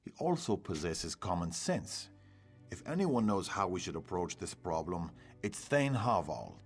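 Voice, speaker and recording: A deep-voiced, pragmatic commander in a fantasy game, measured and assured. His low voice moves expressively over faint music. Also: sing-song, breathy edge, heavily acted. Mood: serious, assured. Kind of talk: video game character acting.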